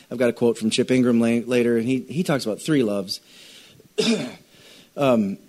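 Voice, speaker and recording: A middle-aged man preaching a conversational sermon, with frequent fillers, restarts and sudden animated rises. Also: mid-range voice. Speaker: pastor. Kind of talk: sermon teaching.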